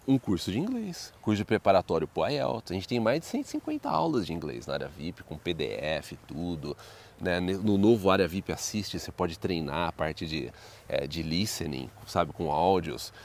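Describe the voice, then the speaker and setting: Low-pitched, animated Brazilian man chatting. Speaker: video co-host and study-abroad adviser. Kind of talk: casual chat. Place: outdoors in a wooded residential neighbourhood.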